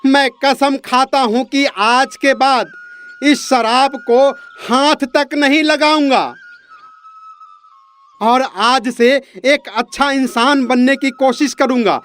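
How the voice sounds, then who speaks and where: A man voicing a naive, pestering drunken villager in a Hindi moral cartoon, with insistent, drawn-out speech. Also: extremely high pitch, fairly even intonation, fairly bright tone, smooth, soft background music, heavily acted. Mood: remorseful, pleading.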